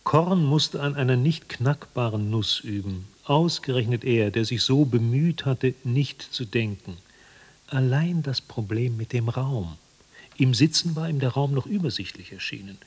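Guy narrating a weird literary radio play, husky, medium pitch, measured storytelling. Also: lively intonation. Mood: dry, uneasy. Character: male narrator of an absurd, anxious tale.

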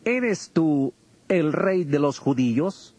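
An actor playing a puzzled Roman governor with declamatory questioning in a dramatized audio Bible. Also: male voice, a little high, lively intonation, takes it slowly, a little mellow, husky, exaggerated voice.

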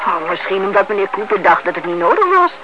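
A woman's voice: medium pitch, sing-song, quick pace, close to an everyday voice. An actress playing a sensible, matter-of-fact woman in a radio play. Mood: impatient, dismissive. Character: sensible, patient but mildly impatient woman named Louise. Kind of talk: radio play acting in Dutch. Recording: muffled, very noisy old radio recording.

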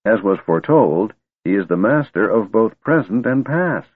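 A man's voice: lowish voice, animated, normal speed, breathy edge, no room sound, pitched above the performer's own voice. A man voicing a wise, eccentric old wizard who is secretly a god, testy and stern in turn. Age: older. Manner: cranky yet wistful, scolding. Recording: tinny phone-like dry recording.

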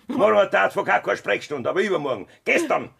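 An actor with a Bavarian accent playing a cruel, scheming farmer husband in a 1970s radio play, with a gravelly, husky voice. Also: male voice, sits high, sing-song, fast, fairly bright tone, exaggerated voice. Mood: menacing.

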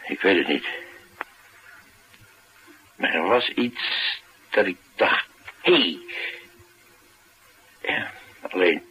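An actor playing a streetwise, talkative driver, confiding and musing in a colloquial radio drama style. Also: male voice, deep voice, lively intonation, slow, long pauses, sharp tone, breathy edge, full character voice. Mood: brooding, puzzled. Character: streetwise, talkative male driver, wry and brooding. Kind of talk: naturalistic radio drama acting. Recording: noisy radio drama recording.

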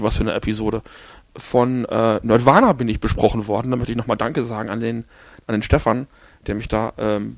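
A man hosting a podcast on his own, hoarse after an illness, rambling along with many fillers and restarts. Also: medium pitch, dry recording. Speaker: podcast host. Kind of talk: casual solo podcast talk.

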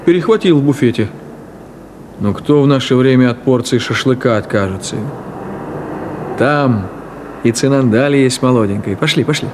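Male actor for middle-aged city driver in a radio play: brisk, bantering, bossy, hospitable, with traffic noise behind him. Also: mid-range voice, lightly acted. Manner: bantering, chatty, bossy, colloquial. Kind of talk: naturalistic 1970s Soviet radio play acting. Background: car and street traffic ambience.

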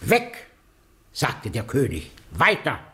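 Husky, slow, theatrical male eyewitness. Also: medium pitch, huge pitch swings, heavily acted. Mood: dramatic, halting. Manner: halting, theatrical storytelling with hushed breathy passages. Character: eccentric, awed male eyewitness recounting a ghostly sighting. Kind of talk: German radio drama acting.

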